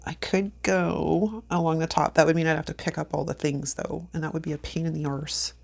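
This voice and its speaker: A woman's voice: deep voice, steady tone, a little fast, gravelly, a little mellow. A woman in her fifties, sniffly from allergies, chatting casually to viewers while she decorates a planner, talking to herself and laughing often. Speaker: planner vlogger. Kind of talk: casual plan-with-me chat.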